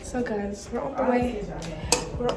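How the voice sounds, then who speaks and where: Young woman vlogging over loud music. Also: on the high side, fairly even intonation, a little slow. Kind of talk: casual vlog chat. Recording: music behind the voice.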